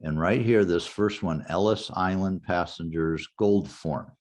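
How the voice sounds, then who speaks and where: An older man teaching a genealogy class in a relaxed, anecdotal way, walking the class through a website step by step. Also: sits low, a little slow. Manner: anecdotal, conversational, walks through website steps. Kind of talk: informal lecture with screen demo.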